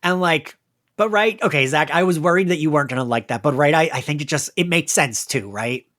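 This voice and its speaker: A podcast host chatting casually and excitedly about an audio drama, with the gushing, giggly manner of a fan. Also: medium pitch, fairly quick, dry recording, studio quality. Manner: animated, gushing fan talk peppered with laughter.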